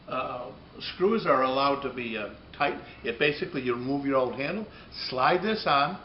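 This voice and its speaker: Male product demonstrator, halting and unscripted, restating as he goes; dull, roomy sound with light noise behind. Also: fairly high pitch. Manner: halting, repeats and rephrases phrases. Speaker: company presenter demonstrating a product. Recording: echoey room, dull muffled audio, noticeable background noise.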